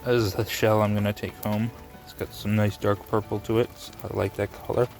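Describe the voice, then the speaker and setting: A man casually narrating his rock hunt on a rainy beach outdoors, relaxed and musing, thinking aloud with small restarts. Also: lowish voice, fairly quick, vocal fry, soft background music. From Canada. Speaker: rockhounding vlogger.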